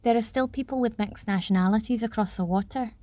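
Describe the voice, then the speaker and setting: A woman acting a practical, quietly doubtful parliament delegate in a radio play, measured and formal. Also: a little high, no echo, close to an everyday voice.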